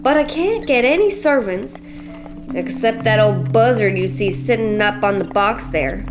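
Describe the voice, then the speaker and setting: A woman's voice: mid-range voice, expressive, measured pace, music in the background, natural voice. A woman voicing a bossy, blunt, middle-aged widow from a cattle ranch, speaking. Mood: bossy, folksy. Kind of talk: radio play acting.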